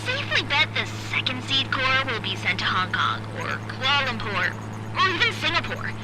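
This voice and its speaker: A woman's voice: high voice, sing-song, normal speed, heavy background noise. An actress playing a young female tech operator guiding the hero in a video game cutscene. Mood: matter-of-fact. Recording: very loud music behind voice.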